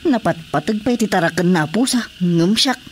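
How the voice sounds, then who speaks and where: A man's voice: high-pitched, lively intonation, fairly quick, a little hiss or hum. A man playing a grumbling, jealous husband in an Ilocano radio drama comedy, with an Ilocano accent. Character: grumbling husband, jealous of his wife's cat.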